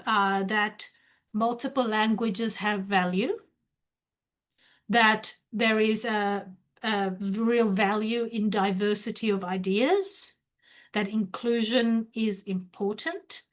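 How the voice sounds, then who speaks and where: A woman lecturer with a somewhat high-pitched voice walks her students through how to read academically. English is not her first language. She explains earnestly and keeps asking them rhetorical questions. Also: a bit bright. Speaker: university lecturer.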